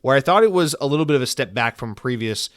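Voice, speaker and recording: Casual male podcast host in his thirties, middle pitch, tired with a head cold, rambling and profane, dry close mic. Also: lively intonation, fast, a bit bright, dry recording. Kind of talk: casual solo podcast chat.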